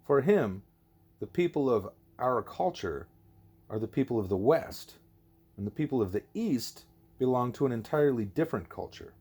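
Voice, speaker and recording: A man's voice: mid-range voice, expressive, normal speed, a little mellow, husky. A man voicing a curious, outspoken young schoolgirl in an audiobook, informal and questioning. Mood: curious, challenging.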